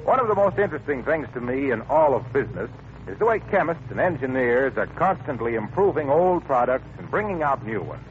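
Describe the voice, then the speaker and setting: A man's voice: medium pitch, sing-song, fairly quick. A man with an American accent reading scripted announcements and sponsor copy as a 1940s radio announcer. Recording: tinny vintage radio recording with faint noise.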